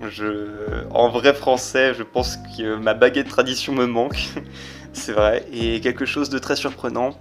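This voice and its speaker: A young French man studying abroad, speaking in a measured, hesitant way with some dry humor. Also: mid-range voice, fairly even intonation, soft background music. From France. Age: young adult. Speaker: exchange student. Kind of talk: casual testimonial.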